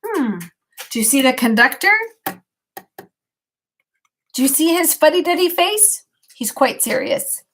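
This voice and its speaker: An older woman, a grandmother, reading a picture book aloud at bedtime, expressively, with gentle questions to a child. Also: high-pitched, big pitch swings, unhurried, long pauses, slight background noise.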